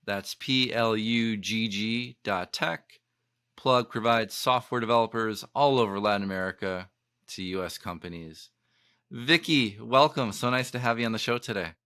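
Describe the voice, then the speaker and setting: A man's voice: fairly low pitch, moderate pace, bright, forceful tone, smooth, no echo, studio quality. A man hosting an interview podcast, asking questions with a lot of "uh" and "um". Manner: hesitant, many fillers. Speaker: podcast host.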